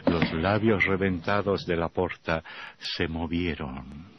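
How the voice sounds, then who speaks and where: An actor playing a sinister storyteller, narrating radio horror with theatrical, morbid relish. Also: male voice, low voice, slow, heavy background noise. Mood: macabre relish. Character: sinister male horror storyteller, gleefully macabre doctor. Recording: dull old radio recording with music.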